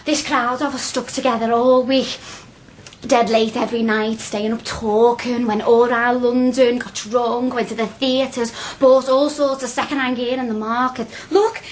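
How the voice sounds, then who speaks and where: A woman's voice: on the high side, steady tone, moderate pace, a little hiss or hum, lightly acted. An actress playing a spirited working-class woman of twenty-six in a radio drama, speaking colloquially and insistently. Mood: excited. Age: young adult.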